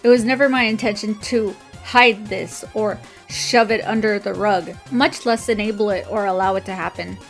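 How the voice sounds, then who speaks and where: A woman's voice: medium pitch, fairly even intonation, normal speed, creaky, few pauses. A woman reading out a scripted personal apology statement. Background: background music.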